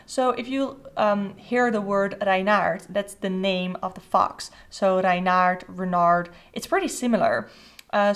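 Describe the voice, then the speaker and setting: Chatty Dutch-accented woman tarot vlogger. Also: medium pitch. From Netherlands. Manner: chatty, rambling, frequent fillers and restarts. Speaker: tarot channel host. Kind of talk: casual deck flip-through.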